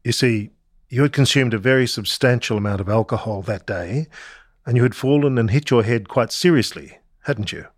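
Actor as accusatory, mid-pitched male barrister. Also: moderate pace, dry recording, clean studio sound, lightly acted. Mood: sceptical. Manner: assertive statements ending in challenges. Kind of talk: re-enacted courtroom cross-examination.

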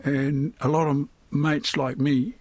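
A man's voice: fairly high pitch, flat delivery, slow pace, darkish tone, no echo. An older man with a New Zealand accent, speaking candidly and colloquially as an interview guest, reflecting on his own life. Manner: candid, colloquial, often adds "you know" and "eh". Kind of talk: reflective personal interview talk.

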